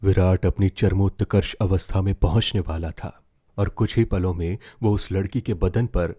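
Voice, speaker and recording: A man's voice: low voice, fairly even intonation, normal speed, dark tone, breathy edge, no room sound, clean studio sound. A man narrating a scripted story as a dramatic storyteller.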